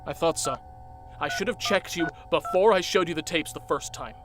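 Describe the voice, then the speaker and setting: A man's voice: fairly high pitch, moderate pace, music in the background, lightly acted. An actor playing a paranoid young man who is sure his friends are not human, agitated and insistent in an audio drama. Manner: agitated and insistent, turning hushed and confiding. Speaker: lead character. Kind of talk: amateur audio drama acting.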